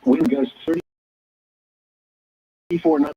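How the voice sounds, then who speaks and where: A man's voice: a little high, sing-song, measured pace, vocal fry, dark tone, slightly rough. A man's synthetic, stilted voice reading scripted weather alerts formally as a radio announcer, in choppy phrases. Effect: weather radio broadcast. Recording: tinny, narrow-band weather radio signal.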